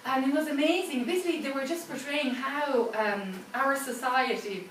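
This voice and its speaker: A woman's voice: high voice, expressive, fast talker, fairly bright tone. A woman from Italy with an Italian accent, giving an informal talk to a live audience in a chatty, self-deprecating way, full of fillers and restarts. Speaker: guest speaker. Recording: echoey room from a distance, faint background hiss.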